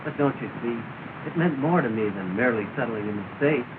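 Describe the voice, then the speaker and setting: A man's voice: medium pitch, animated, a little fast, close to an everyday voice. Man playing a sad polite young gent in love, old 1930s movie, thin crackly hissy sound. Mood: dejected. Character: earnest, dejected young gentleman in love. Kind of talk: 1930s film drama acting. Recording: scratchy, tinny 1930s film soundtrack.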